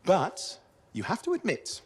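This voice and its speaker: A man playing an eager, cheeky young radio operator in a video game cutscene, talking in an excitable, chatty way. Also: high-pitched, unhurried, husky, exaggerated voice. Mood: animated, pleading.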